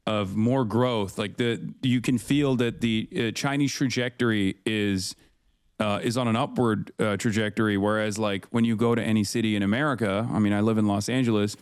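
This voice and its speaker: A man's voice: on the low side, steady tone, normal speed, smooth, dry recording, clean studio sound. A man in his thirties from Turkey, talking loosely in a podcast conversation with many fillers and restarts. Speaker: political commentator, guest.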